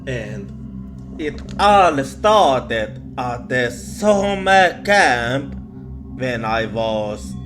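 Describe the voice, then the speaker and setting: Very slow, halting male ghost storyteller. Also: medium pitch, very animated. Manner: halting, hesitant, with long pauses. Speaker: ASMR storyteller. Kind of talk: horror ASMR roleplay, ghost storytelling. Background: music.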